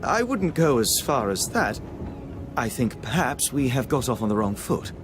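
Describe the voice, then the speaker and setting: Earnest, animated gentleman man acting, music behind. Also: mid-range voice, normal speed, close to an everyday voice. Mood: polite, flustered. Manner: earnest, polite, hesitant under questioning. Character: earnest, proper gentleman insurance investigator, easily flustered. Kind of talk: video game voice acting.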